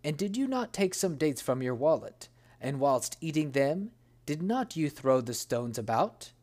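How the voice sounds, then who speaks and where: A storybook reader voicing a huge, menacing male genie who speaks low and blunt. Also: big pitch swings, a little slow, darkish tone.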